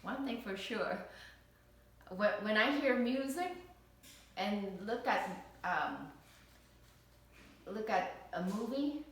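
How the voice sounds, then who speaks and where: A woman's voice: medium pitch, lively intonation, slow, long pauses. A woman from Vietnam being interviewed as a parent, speaking hesitantly with many fillers and restarts. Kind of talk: casual recorded interview. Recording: echoey room, distant mic.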